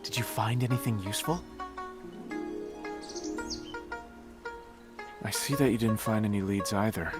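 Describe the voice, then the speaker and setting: A man playing an earnest, helpful young companion in a video game, with an eager and reassuring manner. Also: mid-range voice. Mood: reassuring, encouraging. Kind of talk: video game character acting. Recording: music behind the voice.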